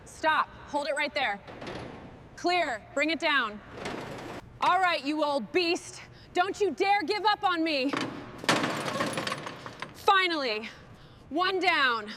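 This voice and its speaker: A woman's voice: high-pitched, expressive, slow, pauses often. A woman playing a determined mechanic who leads a machinery crew, speaking in terse, focused muttering and commands. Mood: determined, commanding. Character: determined hands-on female mechanic leading a heavy machinery restoration crew. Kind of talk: scripted workplace dialogue with muttering and commands. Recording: loud machinery and vehicle noise behind.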